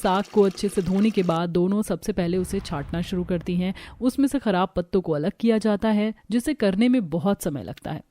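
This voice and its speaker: A woman's voice: medium pitch, a little fast, hardly any pauses, dark tone, no room sound. A woman reading scripted narration for a cartoon family story.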